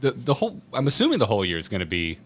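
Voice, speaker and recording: A man's voice: medium pitch, wildly swooping pitch, very fast. A man hosting a podcast interview in a casual, conversational way. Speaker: podcast host.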